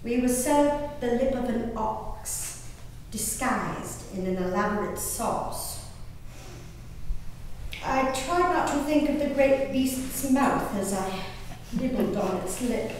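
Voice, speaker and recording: A woman on stage playing a spirited, haughty colonial English gentlewoman reading her diary, in prim, emphatic, old-fashioned formal diction with savored pauses. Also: on the high side, animated. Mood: fond, contented. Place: indoor hall, live performance recorded from a distance. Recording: roomy echoing hall, distant mic, faint noise.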